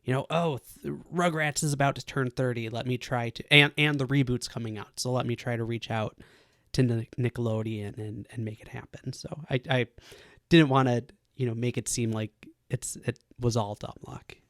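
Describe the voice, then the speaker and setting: A man with a mid-pitched voice hosts a casual podcast interview. He speaks effusively and admiringly to his guest. The sound is dry, with very little room to it. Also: steady tone, moderate pace, a bit bright, dry recording.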